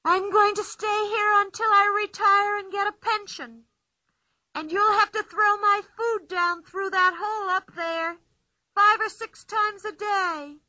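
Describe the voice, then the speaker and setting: A bold, high little girl. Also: steady tone, sharp tone, no room sound, full character voice, poor audio. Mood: playful. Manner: high, strange, drawn-out girlish voice. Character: bold, playful young girl, wildly imaginative. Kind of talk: storybook reading.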